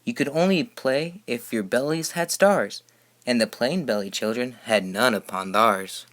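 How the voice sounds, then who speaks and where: A man reads a children's storybook aloud in a mid-pitched voice. He takes the rhymes slowly, with rhythmic, emphatic expression. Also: a bit bright. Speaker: storybook reader.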